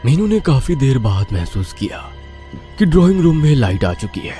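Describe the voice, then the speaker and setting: Male storyteller, suspenseful and theatrical, highly animated pitch swings, prominent music bed. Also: moderate pace. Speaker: narrator. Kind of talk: dramatic scripted horror story narration in Hindi.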